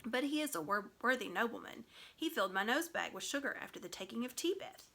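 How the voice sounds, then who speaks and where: A woman's voice: a little high, lively intonation, quick pace, fairly bright tone. A woman voicing a boastful, know-it-all male talking horse, pompous and condescending, in a storybook read aloud. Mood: boastful, airy.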